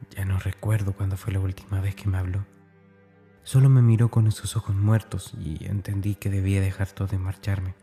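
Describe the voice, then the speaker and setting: Man as troubled schoolboy, lowish mellow voice, brooding intimate diary confession, horror audio drama, faint music underneath. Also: lightly acted. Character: tormented schoolboy diarist with growing dark streak.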